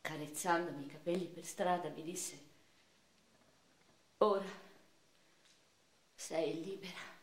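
A woman's voice: sits low, fairly even intonation, takes it slowly, pauses often. An actress playing a grieving, passionate eighteenth-century noblewoman poet who recounts her life in an intense, theatrical radio monologue. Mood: bitter sorrow. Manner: theatrical, intense, swinging from hushed sorrow to anguished outcry. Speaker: stage actress. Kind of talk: dramatic radio theatre monologue, first-person literary narration. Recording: roomy echo, faint music behind.

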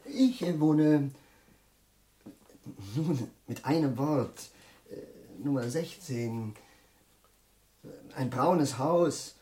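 An actor playing a polite, idealistic and absent-minded professor in a German radio drama, speaking formally and haltingly. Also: male voice, fairly high pitch, mellow, a little hiss or hum. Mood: flustered, apologetic.

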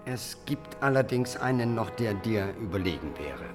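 Scheming bastard half-brother to a king: low, animated, insinuating flatterer for fantasy audio drama. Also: music in the background. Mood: persuasive scheming. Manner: flattering, insinuating, deliberate emphasis. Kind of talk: fantasy radio drama acting.

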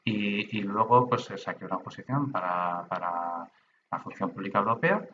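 Middle-aged man, low bright voice, patient explainer who restarts often, slightly muffled lo-fi remote audio. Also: animated, normal speed, a little hiss or hum. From Basque Country, Spain. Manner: explanatory, with many restarts and hesitations. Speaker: guest, data protection official. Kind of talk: conversational podcast interview. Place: remote from his own room.

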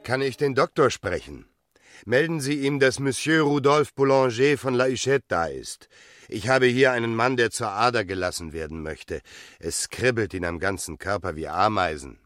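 A man's voice: moderate pace, a bit bright, no echo, clean studio sound, lightly acted. An actor playing a suave, ruthless landowner in his thirties, a self-assured seducer, in a radio drama. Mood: brisk, commanding. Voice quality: very deep, husky.

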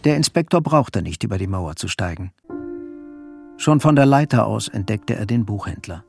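A man's voice: on the low side, lively intonation, normal speed, vocal fry, a little mellow, soft background music. A man narrating a literary crime story as the grave narrator of a radio drama. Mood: grave, measured.

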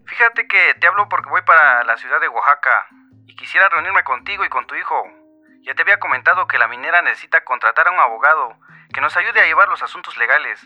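An actor playing a smooth, scheming mining company agent with an insinuating Mexican voice, talking through a telephone filter in a radio drama. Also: male voice, a little high, lively intonation, quick pace. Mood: slyly persuasive. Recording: dull phone line, music underneath.

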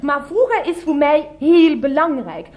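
A woman's voice: sits high, lively intonation, unhurried, a little mellow. An actress playing a gushing, sentimental, fussily sociable woman in a radio comedy drama, prattling cosily. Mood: wounded, earnest. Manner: prattling, cosy, nostalgic.

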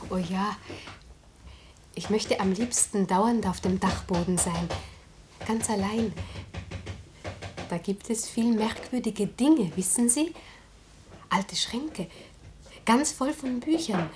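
A woman's voice: medium pitch, takes it slowly, long pauses, noisy background, lightly acted. An actress playing a shy, dreamy young girl in a German radio drama, speaking earnestly and simply with childlike wistfulness.

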